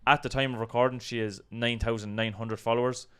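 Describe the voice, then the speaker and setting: An Irish man co-hosts a casual online discussion. His voice is smooth and clear, sitting in a middle register. He talks conversationally, qualifying his points with small asides. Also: medium pitch, little pitch movement, fairly quick, fairly bright tone. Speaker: co-host of a video channel.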